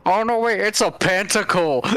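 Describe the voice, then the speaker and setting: A man talking casually as a player in a tabletop game, often halting as he works out what to say. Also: sits high, a crowd in the background.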